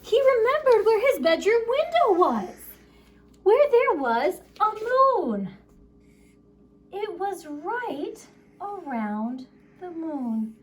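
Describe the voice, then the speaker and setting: A woman reading a picture book aloud to children in an expressive, playful storytelling way, with chatty asides. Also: very high-pitched, slow pace, pauses often. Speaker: story time reader.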